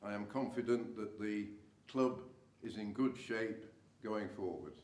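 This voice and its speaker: A man's voice: lowish voice, unhurried. A man giving a prepared report to a club meeting. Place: echoey hotel meeting room. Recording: echoey room, distant mic, faint noise.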